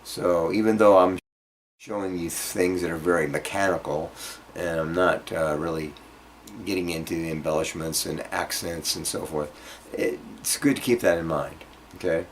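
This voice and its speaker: A man giving an informal guitar lesson, explaining haltingly and checking in with the listener as he demonstrates phrases. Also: deep voice, fairly even intonation, slight background noise. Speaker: guitar teacher. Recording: loud acoustic guitar alongside the voice. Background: guitar being played between phrases.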